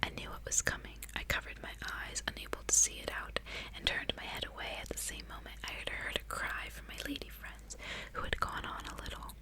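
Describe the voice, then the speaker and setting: A woman speaking in a breathy whisper, reading aloud in an ASMR style. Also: low voice, rough recording. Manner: casual playful asides between read passages. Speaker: ASMR host.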